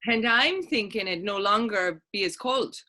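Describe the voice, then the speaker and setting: An actress playing a sassy, quick-witted young Irish woman in a stage play reading, saucy and challenging in folksy phrases. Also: mid-range voice, animated, pushed and bright. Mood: mock offended. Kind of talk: stage readers theater dialogue.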